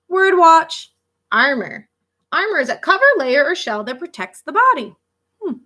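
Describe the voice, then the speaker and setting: A woman with a high, bright voice reads a children's fact book aloud as a schoolteacher would. Her intonation swings widely, and she speaks directly to young listeners, stepping out of the text for short casual comments. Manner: expressive, child-directed, pausing to react and explain. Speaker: elementary school teacher reading a picture book.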